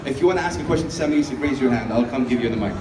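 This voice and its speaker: A man's voice: medium pitch, racing, background noise. A man hosting a live event, energetically urging the crowd on and inviting questions. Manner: energetic, encouraging. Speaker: event host. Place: large event hall. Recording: echoey hall from far off with crowd.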